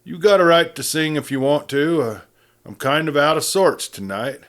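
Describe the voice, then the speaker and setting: A man voicing a gruff, dry-spoken Old West sheriff with a growl and blunt cowboy talk. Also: on the high side, expressive, moderate pace, voice pushed up, natural voice. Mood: easing, companionable.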